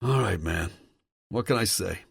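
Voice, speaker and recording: A man's voice: fairly low pitch, big pitch swings, moderate pace, husky, no room sound, clean studio sound, voice pushed up, heavily acted. A man voicing an urgent, cursing brother. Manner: urgent, swearing, insistent. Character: agitated man warning his brother by phone.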